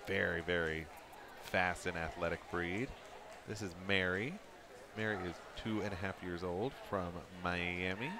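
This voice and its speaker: Deep-voiced man commentating with crowd noise. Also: a little slow. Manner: relaxed, self-deprecating joking. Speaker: dog show commentator. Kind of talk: live event commentary.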